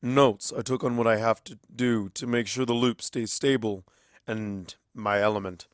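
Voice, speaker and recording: Casting: tender, awkward male pony wizard for story audio, done by a man, mid-pitched, informal, dry sound. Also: steady tone, clear, resonant tone, no room sound, natural voice. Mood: businesslike. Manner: casual, halting, tender.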